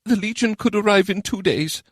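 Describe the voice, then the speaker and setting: A man voicing a nervous male officer who reports bad news too loudly and in a strained way. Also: very high-pitched, brisk, no room sound, clean studio sound, voice pushed up. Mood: uneasy. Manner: loud and strained, avoids the point.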